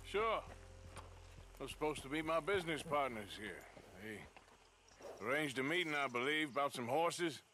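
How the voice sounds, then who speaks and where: A man's voice: on the high side, expressive, unhurried, pauses often, sharp tone, slight background noise. An actor playing a wary, plain-spoken outlaw gunman in a game, with an American accent and a dry, laconic manner. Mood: dryly polite. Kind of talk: dramatic game cutscene acting.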